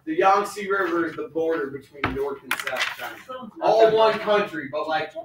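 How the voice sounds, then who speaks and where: A man's voice: a little high, lively intonation, moderate pace. A man teaching a school class in an informal, instructive way, explaining and asking questions. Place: school classroom, distant mic, noise behind. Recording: noisy echoey classroom, far from mic.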